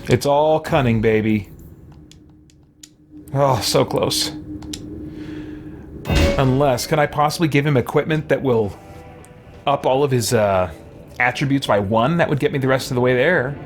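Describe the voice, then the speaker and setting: A man giving casual let's play commentary, reacting out loud and talking to the game's characters. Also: medium pitch, unhurried, pauses often. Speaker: gaming show host. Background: video game music.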